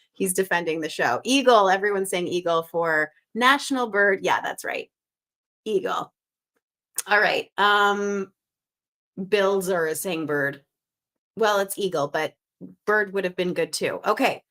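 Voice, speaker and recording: Bright-voiced, chatty Canadian woman host. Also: mid-range voice. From Canada (Montreal). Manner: upbeat, chatty, thinks out loud. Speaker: host of a live crossword stream. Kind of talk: casual live-stream chat, reading viewer guesses aloud.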